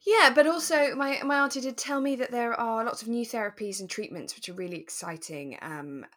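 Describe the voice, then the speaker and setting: A woman co-hosting a podcast, explaining things step by step in a relaxed, conversational way. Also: a little high, fairly quick. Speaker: podcast co-host.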